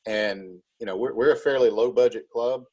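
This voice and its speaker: A man being interviewed as a school drama club director, explaining in a casual, halting way. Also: medium pitch, a little mellow, slightly rough.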